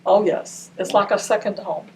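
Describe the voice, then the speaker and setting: A woman's voice: mid-range voice, moderate pace. An older woman from Ramallah whose first language is Arabic, recalling her childhood in an oral history interview. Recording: distant mic with faint noise.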